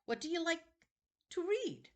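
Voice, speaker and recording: A woman's voice: very high voice, very animated, measured pace, voice pushed up, heavily acted. Woman voicing an eerie, eager, ancient male librarian. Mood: inquisitive. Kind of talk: children's novel read aloud.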